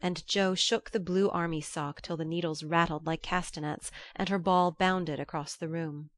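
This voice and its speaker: A woman's voice: on the low side, fairly even intonation, moderate pace. A woman reading literary fiction as an audiobook narrator.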